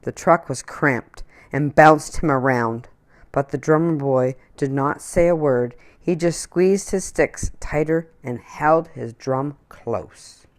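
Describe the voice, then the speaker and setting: Low-pitched, unhurried woman storyteller. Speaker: storyteller reading a children's picture book. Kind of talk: read-aloud children's story narration.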